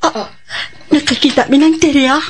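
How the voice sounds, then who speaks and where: A woman's voice: high voice, normal speed, noisy background, natural voice. A woman playing a polite young lady doctor in a Filipino Bisaya radio drama. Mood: cheerful.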